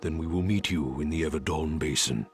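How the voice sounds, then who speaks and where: An actor voicing a wise lizard-like elder in a video game, gentle and formal. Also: male voice, rumbling low, brisk, faint music behind. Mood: calm.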